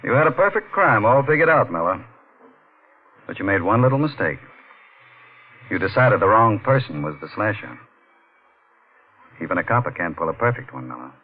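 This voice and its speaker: An actor playing an assured police detective laying out a case in 1940s radio drama style. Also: male voice, fairly low pitch, big pitch swings, moderate pace, long pauses. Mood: assured, stern. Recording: muffled, husky-sounding old radio recording.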